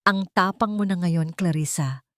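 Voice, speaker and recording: A woman's voice: fairly low pitch, moderate pace, a bit bright, no echo, lightly acted. A woman voicing a menacing, scheming husband in a taunting, dramatic manner for an audio story. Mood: sneering menace. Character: menacing, sneering man, treacherous scheming husband.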